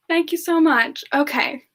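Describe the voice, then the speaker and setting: A woman hosting a semi-ASMR question session, chatting casually in a soft-spoken, gentle and affectionate manner. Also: sits high. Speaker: ASMR channel performer hosting a live Q&A in character.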